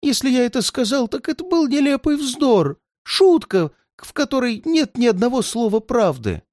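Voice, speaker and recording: A terrified old man in a storybook reading, begging for mercy in thin, high, quavering cries, as a male narrator puts him on. The voice leaps up far above normal speaking pitch and swings wildly. The recording is close and dry. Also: sing-song, moderate pace, darkish tone, dry recording. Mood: desperate. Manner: wailing, high pleading cries. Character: frightened pleading old man, terrified and paranoid.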